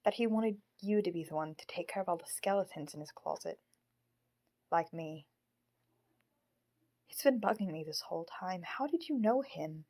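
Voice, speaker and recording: A woman playing an earnest, sorrowful living puppet in an audio drama, speaking in a measured way. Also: fairly low pitch, expressive, mellow. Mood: probing. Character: Marionette, earnest, sorrowful animatronic puppet daughter.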